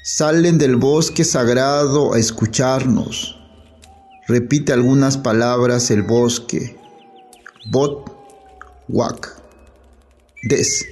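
A Zapotec-speaking man from Oaxaca, reciting his own poetry in a measured, solemn way with long pauses. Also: mid-range voice, a little mellow, a little hiss or hum. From Sierra Sur of Oaxaca, Mexico. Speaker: poet.

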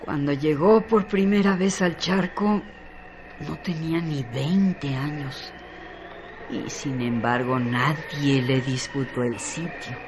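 A man narrating a literary radio drama with deliberate, theatrical phrasing. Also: a little high, slight background noise.

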